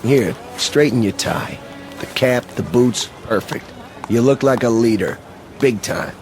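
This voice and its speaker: A man's voice: mid-range voice, lively intonation, unhurried, darkish tone, soft background music, lightly acted. A man acting in a radio drama as the confident, eager right-hand man of a fanatical leader, urging and assured.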